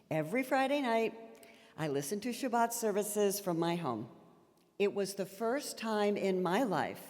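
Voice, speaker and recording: A woman in her fifties from Miami giving an earnest, emphatic speech to a congregation, with heartfelt pauses. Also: mid-range voice, darkish tone. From Miami, Florida. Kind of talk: prepared speech from a stage. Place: large sanctuary with long reverb. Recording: reverberant sanctuary address.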